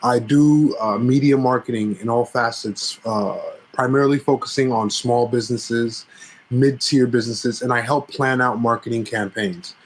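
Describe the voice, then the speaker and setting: A man's voice: medium pitch, steady tone, normal speed, low-quality recording. A man from Cameroon, a filmmaker guest in a casual interview, speaking easily with hesitant fillers.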